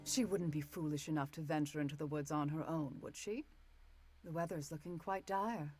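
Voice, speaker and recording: Casting: actress as formal middle-aged mother, visual novel, very low female register, measured politeness hiding menace, music underneath. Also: darkish tone, natural voice. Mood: probing, veiled menace. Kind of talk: visual novel character acting.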